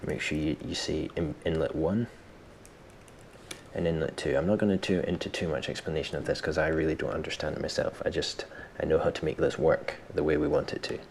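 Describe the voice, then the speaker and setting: A man presenting a casual software tutorial, thinking aloud in an informal, self-deprecating way, with some background noise in the recording. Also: very deep voice, fairly even intonation, brisk, vocal fry.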